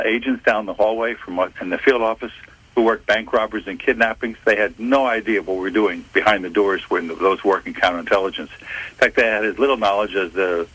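An older man from Philadelphia, a retired FBI agent, answering interview questions in a measured way, often correcting himself. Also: fairly low pitch, a bit bright, slightly rough, poor audio. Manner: measured, self-correcting storyteller. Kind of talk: interview answers, recounting career.

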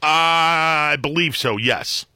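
A man's voice: on the high side, takes it slowly, sharp tone, clear, resonant tone, dry recording, clean studio sound. An American man hosting a radio call-in show, talking casually in a wry, hesitant, conversational way. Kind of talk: casual talk radio monologue and caller chat. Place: radio studio. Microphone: close studio microphone.

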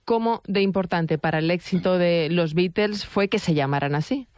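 A woman's voice: fairly low pitch, moderate pace, darkish tone, clean tone, no room sound, clean studio sound. A woman with a Spain Spanish accent hosting a conversational show with a wry, teasing touch. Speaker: host.

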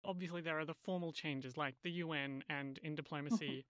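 A male radio reporter with a slightly high voice moves between scripted narration and interview questions. The sound is close and dry. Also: steady tone, brisk, fairly bright tone, smooth, no echo.

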